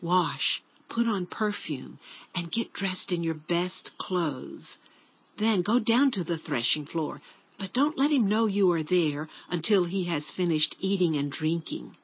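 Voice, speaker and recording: Woman acting a husky motherly older widow. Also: lowish voice, lively intonation, unhurried, muffled recording, full character voice. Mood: gentle, instructing. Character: caring older mother-in-law, a widow in ancient Israel. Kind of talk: dramatized Bible audiobook acting.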